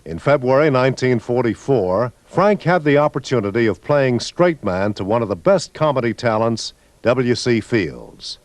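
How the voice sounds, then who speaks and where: Lively-pitched man narrating radio. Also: normal speed, few pauses, no echo. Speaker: radio documentary narrator and host. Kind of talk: scripted narration for a radio tribute.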